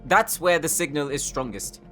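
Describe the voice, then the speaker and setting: A woman playing an excitable, high-strung tech expert in an audio drama, flustered, emphatic and dramatic. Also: moderate pace, faint music behind. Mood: insistent. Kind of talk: anime-style audio roleplay acting.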